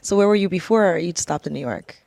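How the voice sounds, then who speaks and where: A woman hosting a casual interview, upbeat and quick to laugh, with short reactions between her questions. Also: on the low side, gravelly, a little mellow, no room sound. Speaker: interview host.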